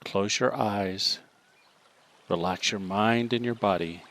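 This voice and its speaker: A man leading a guided meditation, giving slow, calm instructions with long pauses between them. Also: on the low side.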